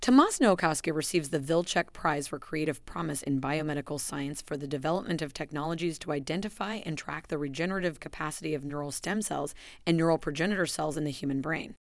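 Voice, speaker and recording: A woman with a low, deep voice reads out a formal announcement. Her delivery is measured and even. The recording is dry, with no room sound. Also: no echo. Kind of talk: scripted narration.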